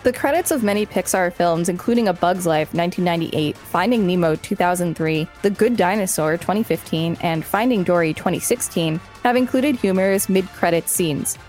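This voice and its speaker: A woman's voice: lowish voice, brisk, few pauses, a little mellow, soft background music. A woman co-hosting a podcast, reading an encyclopedia article aloud and adding casual asides. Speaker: podcast co-host.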